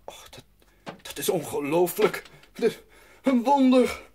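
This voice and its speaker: A man playing a hearty, tender father in an audio drama, with halting, exclamatory delivery. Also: high voice, big pitch swings, heavy background noise, heavily acted. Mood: astonished, moved.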